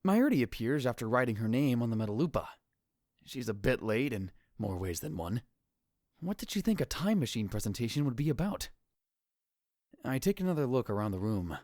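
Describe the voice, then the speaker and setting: A man's voice: mid-range voice, very animated, fairly quick, a little mellow, dry recording, studio quality, lightly acted. A man playing a theatrical, hot-headed young self-styled mad scientist in an anime visual novel dub, with grandiose delivery. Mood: brooding. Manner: dramatic, grandiose outbursts. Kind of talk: anime visual novel fan dub, first-person narration.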